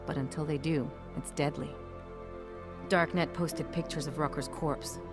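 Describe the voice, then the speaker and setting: An actress playing a frank, self-reliant, streetwise informant in a video game, explaining things in informal language. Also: female voice, deep voice, steady tone, measured pace. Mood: explanatory, urgent. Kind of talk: video game cutscene acting. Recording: music clearly behind the voice.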